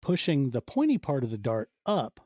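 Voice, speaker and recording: A man's voice: mid-range voice, wildly swooping pitch, moderate pace, darkish tone, no echo. A man in his forties speaking as a podcast guest, telling stories casually and acting out little quoted asides in conversation. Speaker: podcast guest, audio fiction producer. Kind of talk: casual interview storytelling.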